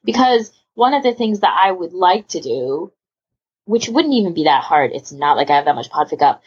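Female podcast panelist, low-voiced, rambling and emphatic in casual conversation, on a muffled lo-fi recording. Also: sing-song, moderate pace, husky. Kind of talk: casual chat about a hobby.

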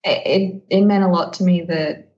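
A woman's voice: lowish voice, fairly even intonation, moderate pace, a little mellow. A woman talking frankly and emphatically as a podcast host in a casual chat, slightly muffled.

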